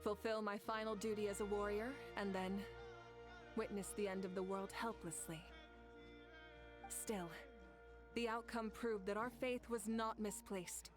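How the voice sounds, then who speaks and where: An actress playing a proud, fiery woman who leads her nation, speaking as earnest game cutscene dialogue with soft music behind. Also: mid-range voice, steady tone, unhurried, long pauses, lightly acted. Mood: solemn. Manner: solemn, earnest storytelling.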